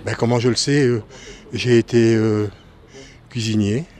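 A man from northern France, a former cook, giving frank opinions slowly with hesitations. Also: lowish voice, steady tone, a little mellow. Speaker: interviewed resident, former cook. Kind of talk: street interview.

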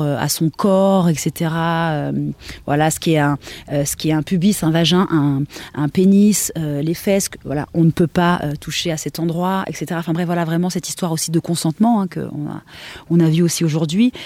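A middle-aged woman giving a candid personal account in an earnest, halting way, thinking aloud. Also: low voice, warm tone, no echo. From Portuguese family background. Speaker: interview guest, dancer and choreographer.

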